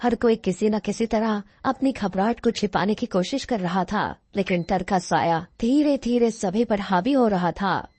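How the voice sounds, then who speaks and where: A woman's voice: medium pitch, a little slow, few pauses, darkish tone, husky, no echo. A woman reading scripted narration for a horror cartoon story.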